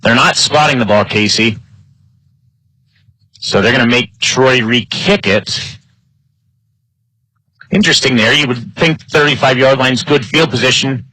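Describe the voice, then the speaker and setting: Bright-voiced man calling football play-by-play. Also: mid-range voice, measured pace, long pauses, no room sound, poor audio. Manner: clipped phrases, building excitement on big plays. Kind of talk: live sports play-by-play commentary.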